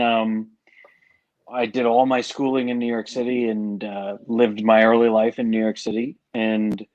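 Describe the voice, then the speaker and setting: Joking New Jersey man, wry casual storyteller, average pitch. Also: low-quality recording. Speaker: podcast co-host. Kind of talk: casual chat.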